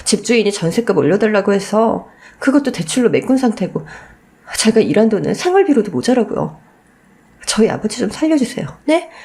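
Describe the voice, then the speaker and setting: A woman's voice: medium pitch, sing-song, a little fast, warm tone. A woman voicing a wronged daughter-in-law, emotional and desperate, in a radio-style story drama. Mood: pleading. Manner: emotional, imploring.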